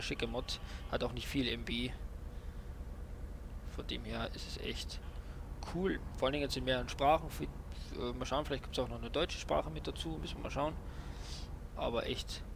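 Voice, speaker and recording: A man's voice: medium pitch, creaky. A young man casually talking through a truck game while driving, chatty with lots of fillers and tag questions. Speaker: gaming YouTuber presenting a mod. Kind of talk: casual gameplay commentary. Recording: noisy game sound behind voice. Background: truck engine and game ambience.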